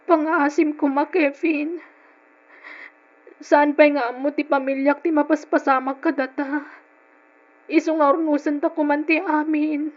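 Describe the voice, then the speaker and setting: A young woman with a very high voice and an Ilocano accent. She is anxious and pleading, in the emotional manner of old radio drama. The sound is dull and lo-fi. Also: level tone, unhurried, long pauses.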